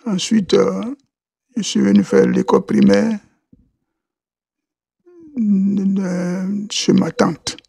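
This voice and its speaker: A man from Côte d'Ivoire with a raised, gravelly voice and a dark, husky tone, answering interview questions. He talks slowly, restarts often and repeats words as he goes. The sound is dry and close. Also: high-pitched, flat delivery, dry recording. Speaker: podcast guest.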